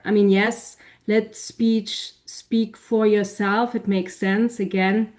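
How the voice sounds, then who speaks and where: A woman speaking at a middling pitch and an unhurried pace, sharing her own experience in a support group. She sounds measured and reflective, heard over a video call. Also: a little mellow.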